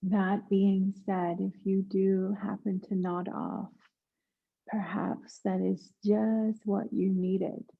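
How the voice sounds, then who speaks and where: A woman guiding a yoga nidra meditation in a hushed, soothing manner, leaving long pauses between instructions. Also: medium pitch, flat delivery, vocal fry, husky, narrow, tinny audio. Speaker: meditation guide.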